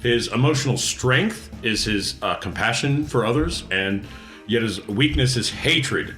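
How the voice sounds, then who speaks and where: A man's voice: medium pitch, lively intonation, measured pace, pushed and bright, reverberant, faint music behind. A man chatting casually as a player on a tabletop role-playing podcast. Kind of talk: casual group chat.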